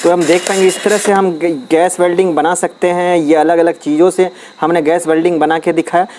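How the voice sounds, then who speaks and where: A man's voice: sits high, flat delivery, a little fast, darkish tone, a little hiss or hum. A man chatting casually as he shows a hands-on experiment step by step, recorded outdoors on a phone held over his hands. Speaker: DIY experiment vlogger. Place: outdoors on a concrete floor, at dusk.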